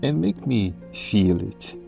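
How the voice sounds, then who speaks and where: Man as a gravelly, courtly old dog. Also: fairly low pitch, very animated, takes it slowly, over loud music, heavily acted. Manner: formal, theatrical, savouring words. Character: grand, courtly old male dog, princely and song-loving. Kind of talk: audio play acting.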